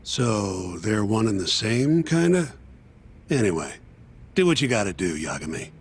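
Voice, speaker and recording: An actor in an English game dub playing a brash, flirty middle-aged lawyer with a crass, casual manner. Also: male voice, fairly low pitch, big pitch swings, measured pace, slightly rough, full character voice.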